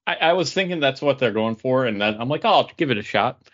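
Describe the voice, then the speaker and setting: A man's voice: medium pitch, animated, quick pace, fairly bright tone, highs cut off. A man co-hosting a podcast in casual chat, rambling through tentative asides. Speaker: podcast co-host.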